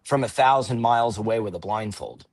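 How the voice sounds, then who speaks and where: A man on a panel explaining his view in a measured way, using vivid images. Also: on the low side. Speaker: panel guest. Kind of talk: casual panel chat.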